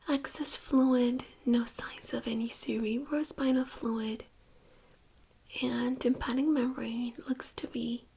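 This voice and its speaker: A woman speaking in a breathy whisper, gently and reassuringly, as a doctor in an ASMR medical exam role-play. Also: high-pitched, slight background noise. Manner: gentle, reassuring, unhurried clinical explaining.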